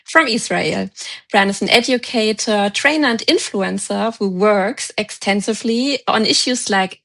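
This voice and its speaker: She is a woman journalist opening an interview show. Her introduction is formal and measured. Her voice has a steady gravelly fry running through it. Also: medium pitch. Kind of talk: scripted host introduction.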